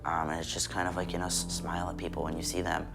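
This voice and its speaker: Casual young man with low, flat voice. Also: fast, creaky. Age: 20s. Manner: casual, upbeat, says "like" often. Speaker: youth center worker. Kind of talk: documentary interview. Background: background music.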